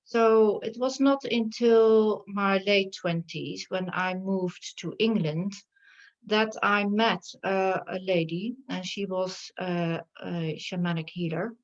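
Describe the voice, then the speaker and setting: A woman with a Dutch accent, a shamanic healer telling her story in an interview, pausing often with fillers. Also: mid-range voice, fairly even intonation. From Netherlands. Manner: hesitant, many fillers. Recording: dull lo-fi sound.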